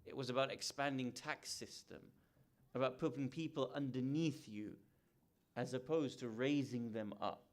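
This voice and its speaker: A man preaching in a measured, emphatic way, with a voice that sits in an ordinary middle pitch. He often stops to put rhetorical questions to the gathering before he presses his point. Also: steady tone. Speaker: speaker at a religious gathering. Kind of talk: sermon lecture.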